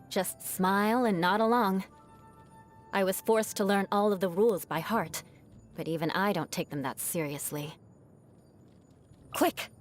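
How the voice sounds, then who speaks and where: A woman's voice: mid-range voice, lightly acted. A woman voicing a proud young aristocratic knight in a video game, with a haughty, formal, teasing manner and a vengeful streak that hides a caring side. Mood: composed, haughty, then brisk, caring. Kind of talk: scripted video game character voice lines.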